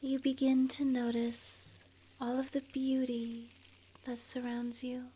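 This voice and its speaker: A woman softly narrating an ASMR guided meditation in a breathy, whispery voice, gentle and soothing, leaving long pauses. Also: high voice, little pitch movement, slight background noise, low-quality recording.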